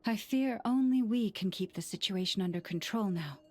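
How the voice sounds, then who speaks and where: Actress as a calm, grave young woman. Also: mid-range voice, moderate pace, lightly acted. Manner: formal, restrained. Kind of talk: anime game voice acting.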